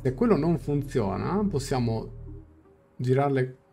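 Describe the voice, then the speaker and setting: Streamer: young Italian male, medium pitch, casual halting live translation, faint music behind. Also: lively intonation, creaky, mellow. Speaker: game streamer. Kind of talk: casual live reaction, translating game dialogue aloud. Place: small indoor room. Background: faint game music.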